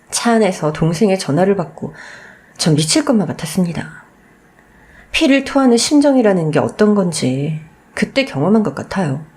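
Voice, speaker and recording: A woman's voice: fairly low pitch, darkish tone. A woman reading a scripted first-person story as a dramatic radio narrator.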